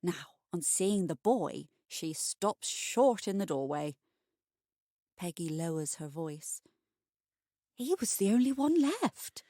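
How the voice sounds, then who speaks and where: A woman narrating a scripted bedtime short story for adults, expressive and wry. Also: on the low side, slow, dry recording, clean studio sound.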